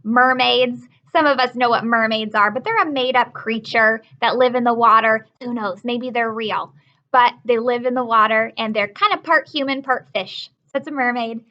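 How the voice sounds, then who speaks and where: A woman's voice: on the high side, normal speed, pushed and bright, highs cut off. A woman teacher giving a read-aloud lesson for children, explaining words simply and repeating key points.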